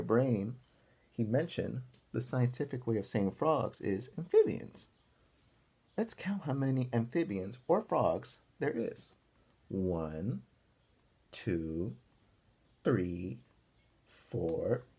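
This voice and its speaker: A man reads to young children in an unhurried, slightly husky voice. His delivery is lively and expressive, and he stops to explain things and ask simple questions as a teacher would. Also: medium pitch. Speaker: teacher reading a picture book aloud to young children. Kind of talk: animated read-aloud with questions to young listeners.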